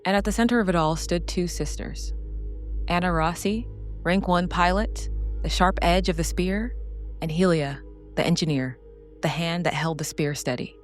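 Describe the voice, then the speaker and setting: A woman narrates a solo game as a dramatic scripted story. She is grave and deliberate, breaking sentences into short phrases. Faint music runs behind her voice. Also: lowish voice.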